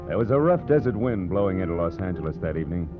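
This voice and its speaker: A hard-boiled American private eye from 1940s radio. The voice sits low, wry and wisecracking. The recording is dull and vintage, with music underneath. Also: male voice, big pitch swings, fast. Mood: brooding, wry. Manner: sardonic, clipped wisecracking. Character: wry, hard-boiled male private detective narrating his case. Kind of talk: 1940s radio detective drama acting.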